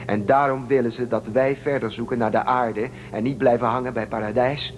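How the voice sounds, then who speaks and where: A man's voice: mid-range voice, fairly even intonation, fairly quick, natural voice. Casting: male actor as rebellious young spaceship fugitive, urgent and protective, radio-play delivery, distant mic, music behind. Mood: intent, suspicious. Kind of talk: Dutch radio drama acting.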